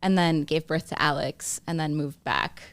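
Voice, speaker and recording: A woman's voice: low-pitched, steady tone, moderate pace, no echo. A young adult runner from Mexico, raised abroad, chatting in an interview, laughing often and quoting her own thoughts. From Mexico, raised in Argentina and France. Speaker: marathon runner guest. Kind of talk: casual interview conversation. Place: podcast studio.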